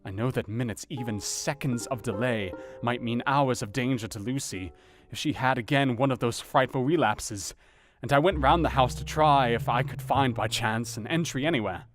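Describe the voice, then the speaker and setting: A man's voice: mid-range voice, close to an everyday voice. An actor playing an earnest, anxious doctor, narrating his diary in a grave, brooding, theatrical manner for an audio drama. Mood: mounting dread. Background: plucked guitar music.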